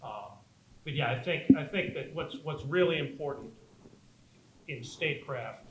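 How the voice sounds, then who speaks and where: A man who serves as a diplomat, answering a panel question in a measured way, repeating words as he thinks. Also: mid-range voice. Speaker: Foreign Service officer on a panel. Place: auditorium. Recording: roomy hall with faint noise.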